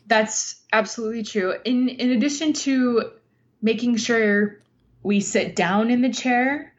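Slow, thoughtful woman on a muffled line. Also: on the high side. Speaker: podcast guest, writer. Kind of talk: reflective interview conversation, storytelling.

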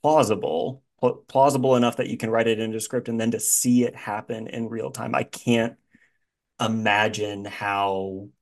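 A man's voice: fairly low pitch, steady tone, moderate pace. A man hosting a podcast, speaking in a casual interview style with a hesitant, thoughtful manner. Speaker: podcast host.

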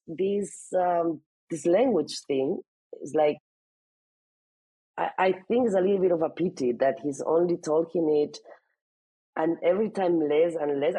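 A woman's voice: low-pitched, mellow, slightly rough, no room sound, poor audio. A woman, talking casually in an interview about her family, with many restarts and "I mean"s. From Spain. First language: Spanish. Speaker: interviewee, mother.

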